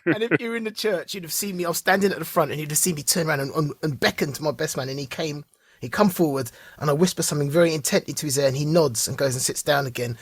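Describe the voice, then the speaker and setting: A British man co-hosting a podcast, chatting casually and telling relaxed anecdotes. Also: fairly high pitch, fast, breathy edge, dry recording. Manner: relaxed storytelling, laughs along. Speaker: podcast co-host. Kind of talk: casual chat.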